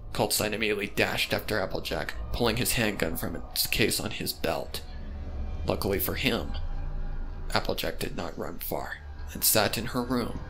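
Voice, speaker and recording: A man's voice: on the low side, vocal fry, a bit bright, low-quality recording. A man reading scripted, dramatic narration for a fan fiction story.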